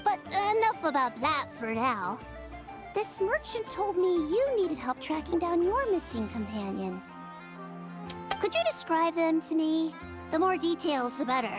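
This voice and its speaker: A young female investigator in a video game. She sounds high and lively, earnest and methodical, with music clearly behind her. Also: a little slow, heavily acted. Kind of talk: animated video game voice acting.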